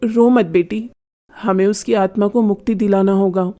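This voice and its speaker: A woman's voice: mid-range voice, steady tone, moderate pace, mellow, pitched below the performer's own voice, lightly acted. A woman voicing a wise, solemn male priest in a children's cartoon story, grave and consoling.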